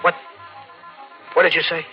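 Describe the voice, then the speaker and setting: An actor playing a frightened, guilt-ridden drifter with a record, talking in halting slang in a 1940s radio thriller style. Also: male voice, lightly acted. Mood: startled, uneasy. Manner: halting, anxious, repeats words, street slang. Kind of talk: 1940s radio thriller acting, first-person narration. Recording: dull old radio recording with music. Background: dramatic music.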